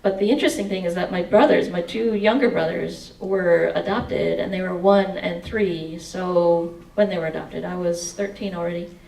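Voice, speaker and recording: A woman's voice: fairly low pitch, normal speed, creaky, hardly any pauses, reverberant, distant mic, low-quality recording. A woman in her forties reading aloud from her memoir with a headset mic, then answering audience questions. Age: 40s. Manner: expressive reading, sings snatches of song. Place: indoor meeting room.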